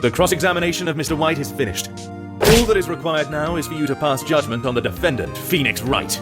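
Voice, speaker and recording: A man acting a haughty, calculating prosecutor in a video game drama, formal, smug and deliberate. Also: on the high side, lively intonation, close to an everyday voice. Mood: cool, dismissive. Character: haughty, calculating male prosecutor, coolly superior. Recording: music clearly under the voice.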